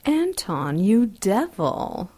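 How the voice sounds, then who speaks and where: A woman's voice: medium pitch, sing-song, very slow, darkish tone, slightly rough, heavily acted. An actress playing a seductive, commanding demon queen with a silky, teasing manner in an audio drama. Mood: flirtatious. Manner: silky, teasing, self-assured.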